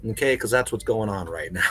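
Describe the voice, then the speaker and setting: A man in casual podcast conversation, telling stories in an animated way with plenty of conversational fillers. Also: fairly low pitch, moderate pace, slight background noise. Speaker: podcast panelist. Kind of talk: casual chat.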